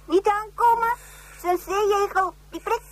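A woman playing a knowing young sea guide in a science fiction radio play, brisk, dry and slightly teasing. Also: extremely high pitch, slight background noise. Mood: brisk, cautioning.

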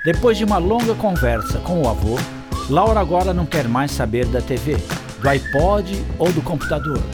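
A man's voice: a little high, lively intonation, normal speed, music in the background, lightly acted. A man narrating a children's radio drama as a playful, theatrical storyteller. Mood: playful storytelling. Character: lively storyteller of a girl's adventures.

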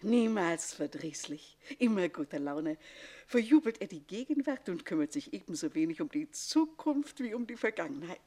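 An actress playing a jealous, scheming middle-aged governess in a radio comedy, with mock-polite, biting, emphatic speech. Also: female voice, on the low side, big pitch swings, moderate pace, a little mellow. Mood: wry, coaxing. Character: scheming, sharp-tongued jealous woman, a governess in a castle. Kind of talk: theatrical German radio comedy acting.

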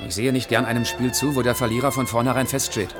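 A man's voice: medium pitch, level tone, quick pace, close to an everyday voice. An actor playing a stern, articulate man in a radio play, speaking in an earnest and reproving way. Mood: reproachful, earnest. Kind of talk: 1980s radio play dialogue. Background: clearly audible music.

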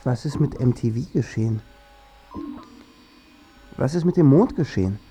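A man's voice: medium pitch, expressive, normal speed, dark tone, soft background music, close to an everyday voice. An actor playing a man who sceptically questions things in a scripted radio drama, in an inquisitive, rhetorical manner. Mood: questioning, sceptical. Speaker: radio play speaker.

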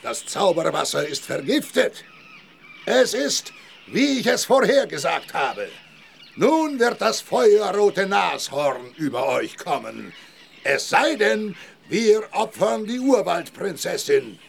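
A man's voice: high voice, very animated, slow, vocal fry, slight background noise, heavily acted. An actor playing a solemn, portentous village medicine man in a children's audio drama. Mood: menacing, incendiary. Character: ominous male medicine man of an African village.

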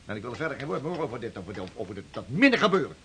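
A man's voice: medium pitch, very animated, racing, a little hiss or hum. A man acting a stern, suspicious old-time ship's captain in a radio drama, scolding and giving orders. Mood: stern, accusing. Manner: interrogating, commanding, scolding.

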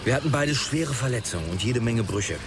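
A man's voice: mid-range voice, expressive, brisk, close to an everyday voice. A man acting a reflective author recounting his survival in naturalistic radio drama dialogue. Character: male author and plane crash survivor, reflective. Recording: noisy public ambience behind.

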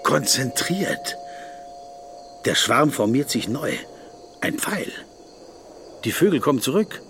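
An actor in a radio play voicing a pensive, word-obsessed lawyer lost in reverie, speaking in halting, intimate, poetic musings. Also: male voice, medium pitch, big pitch swings, noisy background. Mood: rapt.

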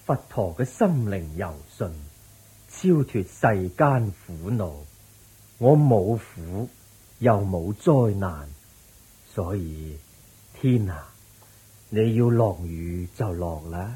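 The Buddha as a serene, gentle teacher who speaks very slowly and calmly. His voice is low, mellow and very rough in texture, set in an old radio play. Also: full character voice, rough recording. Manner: slow, calm and serene. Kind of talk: 1980s Cantonese radio drama.

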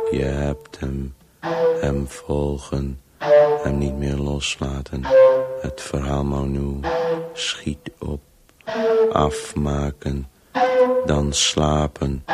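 A man's voice: a bass voice, heavily acted. An actor playing a weary, driven man who tells a story compulsively in terse, broken phrases in a radio play. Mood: low, urging. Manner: fragmented, terse, monotone, urging. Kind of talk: Dutch radio play monologue.

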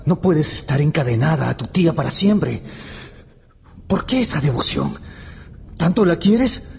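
A man's voice: a little high, big pitch swings, a little slow, gravelly, a little mellow, slight background noise, heavily acted. A man playing an earnest, troubled young lover in a radio drama, with intense, dramatic phrasing. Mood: pleading, urgent.

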